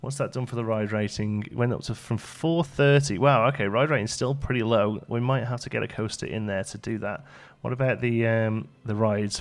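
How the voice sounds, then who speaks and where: Chatty man doing gaming commentary. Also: lowish voice. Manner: chatty, thinks aloud. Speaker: gaming video host. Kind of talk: casual let's play commentary.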